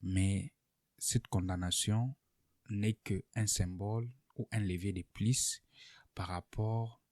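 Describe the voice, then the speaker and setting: Male political analyst for online video: very low, warm voice, unscripted and deliberate, pausing as he explains. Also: steady tone, no echo, studio quality.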